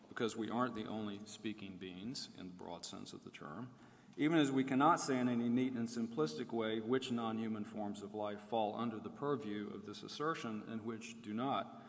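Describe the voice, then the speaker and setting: A man giving a formal academic keynote lecture, reading from prepared text in a measured way and setting off quotations with emphasis. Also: mid-range voice, fairly bright tone. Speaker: keynote speaker. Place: large indoor room at a conference. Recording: reverberant lecture hall.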